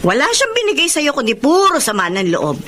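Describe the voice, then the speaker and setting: A woman's voice: high-pitched, wildly swooping pitch, fairly quick, fairly bright tone, heavily acted. A Filipino woman playing a protective, disapproving elder sister, firm and reproving, in radio drama style. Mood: firm, advising. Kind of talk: emotional radio drama acting.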